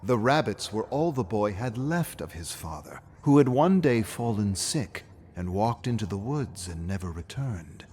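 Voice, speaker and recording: A man narrating a scripted fairy tale as a storyteller, with a dramatic, measured delivery. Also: on the low side, animated, a little mellow, a little hiss or hum.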